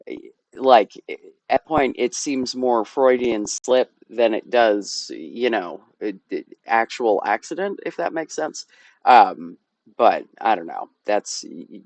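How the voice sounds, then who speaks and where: A man reacting to a video in casual chat, hesitant and halting with lots of fillers. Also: medium pitch, gravelly, dry recording. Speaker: guest reactor.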